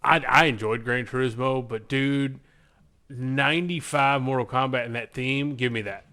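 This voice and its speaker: A man with a bright, forceful voice at a medium pitch, co-hosting a casual podcast chat. He talks informally, with slangy phrasing and emphatic choices. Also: steady tone, moderate pace. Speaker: podcast co-host. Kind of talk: casual chat, picking movies in a bracket game.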